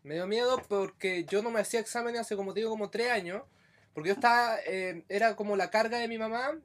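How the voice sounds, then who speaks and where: A man's voice: high-pitched, quick pace. A young Chilean man in his twenties, co-hosting a podcast in casual, laughing chat, swearing and getting exasperated. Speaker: podcast co-host.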